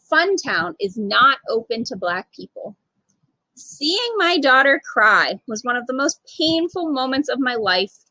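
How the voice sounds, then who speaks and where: A woman's voice: on the high side, a bit bright, no room sound, low-quality recording. A woman reading a children's picture book aloud expressively as a storytime reader. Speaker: storytime reader, literacy program manager.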